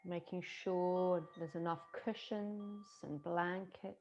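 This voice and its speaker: A woman with a Scottish accent, a yoga teacher softly and slowly guiding a yoga nidra relaxation with long pauses. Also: lowish voice, steady tone, highs cut off, slight background noise. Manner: soft, slow and soothing, with long pauses. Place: her home in the city. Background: singing bowls and chimes.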